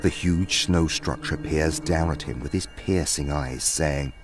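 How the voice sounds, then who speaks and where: A man's voice: very deep voice, measured pace, over music. A man reading scripted story narration as the narrator of a fantasy tale.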